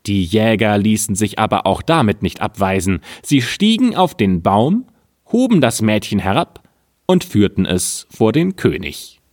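A man reading an old fairy tale aloud in a calm, measured storytelling manner. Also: on the low side, no room sound, studio quality.

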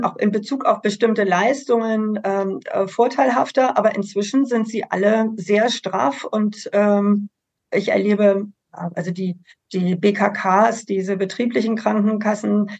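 A woman's voice: medium pitch, creaky, a little mellow, lo-fi sound. A woman speaking for an association on a panel, explaining things earnestly and at length. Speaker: association representative. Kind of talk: panel discussion.

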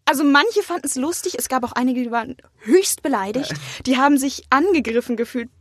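A woman's voice: high voice, lively intonation, a little fast, slightly rough, no room sound, studio quality. A young woman telling anecdotes candidly in a casual chat as an interview guest. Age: young adult. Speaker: interview guest, acting student.

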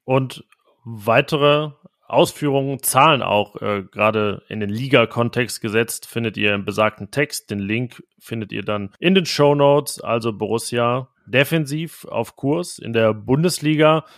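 A man's voice: mid-range voice, unhurried, a bit bright, no echo, clean studio sound. A man hosting a football podcast, moderating in casual chat and putting questions to his guests. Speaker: podcast host.

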